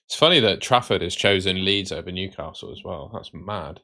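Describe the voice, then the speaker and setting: A man hosting a live stream with casual, matey chat that can turn excitable and sing-song. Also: sits low, fairly quick. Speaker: livestream host.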